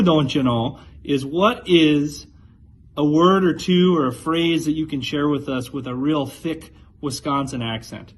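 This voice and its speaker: A man's voice: fairly high pitch, slight background noise. A man sending in a friendly, joking recorded question for a podcast. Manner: friendly, jokey. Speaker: pickleball pro sending a question.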